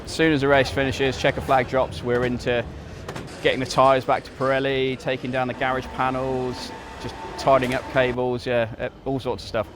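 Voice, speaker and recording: A man on a team crew calmly describing his work in a matter-of-fact way. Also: medium pitch, normal speed, background noise. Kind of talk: interview answers.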